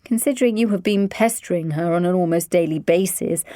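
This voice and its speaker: A woman's voice: on the low side, a little fast, dark tone, no room sound, close to an everyday voice. A woman voicing a wry middle-aged father with dry, sardonic humour.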